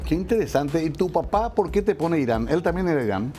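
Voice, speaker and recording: A man's voice: fairly high pitch, animated, brisk, dark tone. A man hosting a late-night interview who is chatty and frequently nods along with short "claro" and "sí" replies. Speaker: talk show host. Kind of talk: relaxed interview conversation. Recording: faint music underneath.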